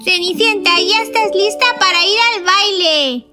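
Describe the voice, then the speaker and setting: A woman's voice: very high voice, unhurried, sharp tone, heavily acted. A woman voicing a playful, mischievous little witch girl who plays fairy godmother in a children's cartoon, with a Castilian Spanish accent. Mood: innocent, sly. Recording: children's music behind the voice. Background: children's background music.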